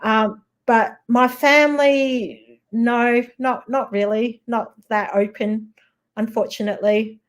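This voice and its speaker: A woman's voice: on the high side, steady tone, slow. A middle-aged woman from Singapore, a guest in a casual chat, talking hesitantly with many fillers and restarts.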